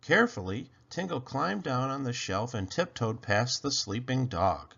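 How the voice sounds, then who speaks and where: A man with a gravelly, husky voice narrating a children's story in an expressive read-aloud style. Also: medium pitch, unhurried, dull audio. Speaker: storybook reader.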